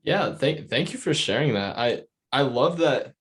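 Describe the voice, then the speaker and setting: A man's voice: medium pitch, lively intonation, a little slow. A man hosting a casual interview podcast, rambling and enthusiastic, peppering his sentences with "like". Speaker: podcast host.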